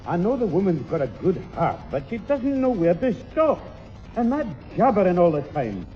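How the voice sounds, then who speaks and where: High-voiced grumpy Northern English grandad. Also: male voice, very animated, moderate pace, big-room sound. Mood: exasperated. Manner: scolding, blustering. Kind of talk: 1960s British radio sitcom acting. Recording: dull 1960s radio recording with music.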